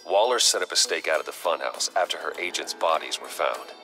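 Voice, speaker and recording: An actor playing a brooding, determined American vigilante in a video game, speaking tersely and gravely. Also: male voice, rumbling low, animated, fairly quick, bright, forceful tone, exaggerated voice. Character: brooding, determined masked vigilante, a grown man. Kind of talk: dramatic video game acting. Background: faint background music.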